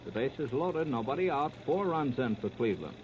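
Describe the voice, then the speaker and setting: A man's voice: mid-range voice, very animated, a little fast. A man calling baseball play-by-play for 1930s radio, narrating in clipped, present-tense phrases. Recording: scratchy, noisy, muffled 1930s radio recording.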